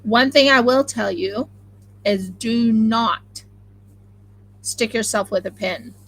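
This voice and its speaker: Chatty Oklahoma-accented woman with a gravelly edge. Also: a little high, takes it slowly, poor audio. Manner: chatty, rambling, excitable. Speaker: craft channel host. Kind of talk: casual live-stream chat while sewing.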